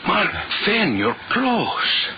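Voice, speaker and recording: Grave imperious scientist in old radio horror, super deliberate and portentous, noisy muffled old recording. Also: male voice, high voice, wildly swooping pitch, full character voice. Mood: dazed, solemn. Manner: deliberate, portentous, repeats words for weight. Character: grave, doom-laden scientist, intense and imperious. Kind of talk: old-time radio horror acting, melodramatic.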